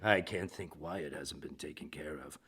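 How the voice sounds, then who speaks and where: An actor playing a pompous, lecherous middle-aged lawyer boss in a comedic radio drama, with a smarmy, fussy manner and a gravelly, husky voice. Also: male voice, a bass voice, normal speed, sharp tone, exaggerated voice. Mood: smarmy, leering. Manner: smarmy, fussy, oily chuckling talk.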